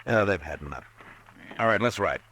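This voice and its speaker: An actor playing a steady, firm frontier marshal in an old radio drama, speaking in terse, measured lines. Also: male voice, low voice, slight background noise. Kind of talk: 1950s radio drama acting.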